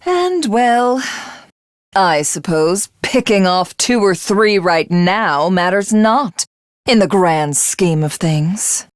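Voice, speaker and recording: An actress playing a haughty, vengeful alien warlord in a video game, speaking in formal, mocking, theatrical lines. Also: female voice, mid-range voice, expressive, slow pace, dry recording, studio quality. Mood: haughty, musing. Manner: imperious, mocking, formal archaic diction. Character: haughty, vengeful alien warlord, a self-styled living god. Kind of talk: theatrical game villain monologue.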